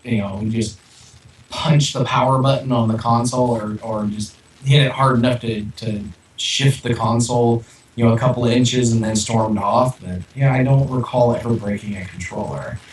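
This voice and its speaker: A man co-hosting a podcast in casual chat, speaking in a hesitant, halting way. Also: medium pitch, steady tone. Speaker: podcast co-host. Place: echoey room on online call. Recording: echoey, distant, noisy remote audio.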